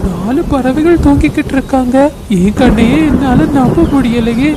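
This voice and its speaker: A woman plays a greedy young cartoon eagle, the sly little brother. The voice is fairly high and gloats in drawn-out exclamations, over very loud background noise. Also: natural voice. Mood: sly, gloating. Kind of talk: Tamil cartoon story voice acting. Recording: noisy track with outdoor ambience.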